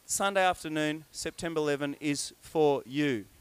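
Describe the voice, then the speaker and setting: A man's voice: fairly high pitch, normal speed, smooth, no room sound. A man leading a church service as pastor, giving chatty, jokey announcements and a prayer. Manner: jokey, chatty, breaks off into asides.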